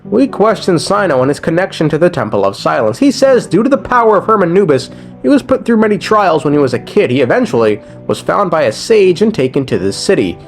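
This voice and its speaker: A man's voice: sits high, sing-song, a little fast, vocal fry, soft background music. A man narrating a video game story recap in a casual, scripted way, with slangy, irreverent asides.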